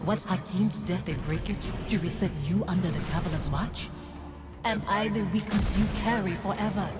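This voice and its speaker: A woman's voice: medium pitch, steady tone, moderate pace, over loud music, close to an everyday voice. Woman as a ghostly bitter wife, reproachful, solemn, game score swelling behind. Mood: mournful, accusing.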